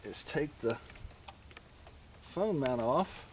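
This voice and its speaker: A man with a mid-pitched voice talks through a hands-on job in a casual, plain-spoken way. He goes step by step as he works. Faint ambient and vehicle noise sits behind his voice. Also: expressive, very slow, a little mellow. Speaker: motorcycle vlogger demonstrating gear installation.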